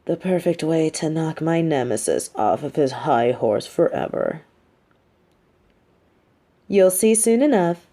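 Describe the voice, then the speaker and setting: A woman playing a coolly menacing, manipulative but sympathetic supervillain in a scripted audio roleplay, speaking deliberately with theatrical condescension. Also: sits low, animated, warm tone, full character voice. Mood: curt, scheming.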